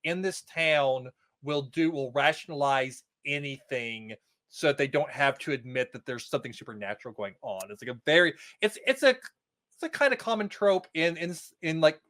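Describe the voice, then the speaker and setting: A man's voice: a little high, animated, normal speed, a bit bright. A man chatting casually as a podcast guest, explaining things in a rambling, stop-start way. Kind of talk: casual chat about a TV episode.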